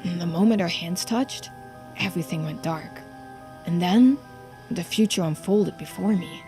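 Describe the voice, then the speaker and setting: A woman voicing an earnest, subdued young diver in a video game, speaking seriously and with quiet determination. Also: fairly low pitch, a little slow, faint music behind. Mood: earnest, confiding.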